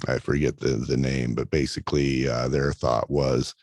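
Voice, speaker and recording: Deep-voiced male podcast host, reflective and encouraging, close dry sound. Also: flat delivery, normal speed, a little mellow, dry recording. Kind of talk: interview hosting.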